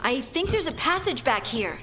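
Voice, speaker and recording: A woman's voice: high voice, sing-song, normal speed, background noise. A woman playing an earnest, resolute dwarf scout in a video game, with a cautious, reassuring manner.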